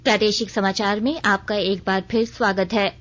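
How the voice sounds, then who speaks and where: A woman's voice: medium pitch, fairly even intonation, normal speed. A woman news reader delivering a formal scripted radio bulletin. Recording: dull band-limited radio recording.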